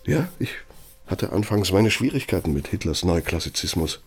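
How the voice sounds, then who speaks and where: An actor playing a self-important ageing German architect who dictates in a pompous, deliberate, rhetorical manner, as in a radio play. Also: male voice, low-pitched, very animated, darkish tone, husky, full character voice. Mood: nostalgic, proud.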